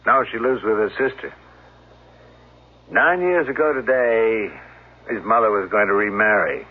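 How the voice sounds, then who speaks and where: An actor playing a calm, world-weary police officer, recounting grim events in a measured, solemn way in a radio drama. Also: male voice, fairly low pitch, husky. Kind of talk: 1970s radio drama acting. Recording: narrow-band 1970s radio broadcast.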